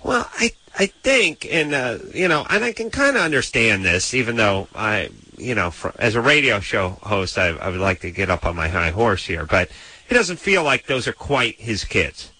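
A man's voice: fairly low pitch, sing-song, normal speed, bright, forceful tone, breathy edge, dry recording, poor audio. Playful, rambling male radio co-host. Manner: playful, rambling, self-interrupting. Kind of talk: joking late-night call-in banter. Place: radio studio. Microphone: studio microphone on stand.

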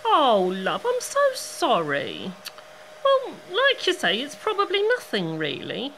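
A woman's voice: fairly high pitch, huge pitch swings, slow, gravelly, a bit bright, slight background noise, exaggerated voice, rough recording. An actress playing a kindly, chatty British hairdresser in an audio play, motherly and full of endearments. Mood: soothing sympathy. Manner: motherly, calls people "love".

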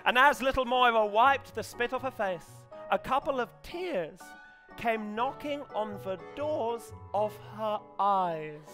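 An English man reads a comic story aloud at a slow, careful pace. His manner is hesitant and earnest, played completely deadpan, like an amateur novelist presenting his work. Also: very high-pitched, faint music behind. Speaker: comedian reading as an amateur novelist character. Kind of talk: live comedy reading, deadpan storytelling. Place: small stage set indoors, before an audience. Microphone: headset.

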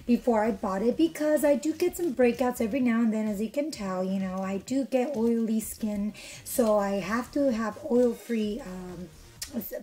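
A young woman, a little hoarse from being under the weather, chatting bubbly through a makeup tutorial in her bedroom, filmed close by her camera. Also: on the high side, dark tone, low-quality recording. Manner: chatty, bubbly, lots of fillers. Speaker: beauty vlogger. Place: bedroom with couch, indoors. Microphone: camera in front at arm's length.

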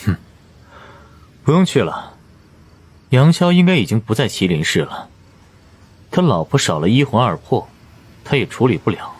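A man's voice: medium pitch, big pitch swings, moderate pace, pauses often, slightly rough. Man playing an aloof, condescending supernatural boss. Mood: cool, commanding. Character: aloof, powerful male supernatural investigation boss. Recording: music under the voice.

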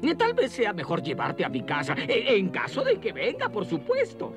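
A man's voice: high voice, wildly swooping pitch, moderate pace, over music. A man playing a scheming, hot-tempered middle-aged wizard in a cartoon, theatrical and sly. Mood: sly, falsely friendly. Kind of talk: exaggerated cartoon villain acting.

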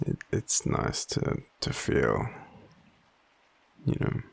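An Australian man playing an overwhelmed, devoted, self-deprecating partner in an intimate audio roleplay, speaking haltingly with sighs. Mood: overwhelmed, tearful. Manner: halting, hesitant, sighing.

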